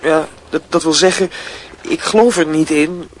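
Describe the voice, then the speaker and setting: An actor plays a young man courting in a radio drama, evasive and unsure of himself. His voice is a little high for a man, with audible noise in the recording. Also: normal speed, lightly acted. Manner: guarded, hesitant. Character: evasive, hesitant young suitor. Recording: radio play with clear background noise.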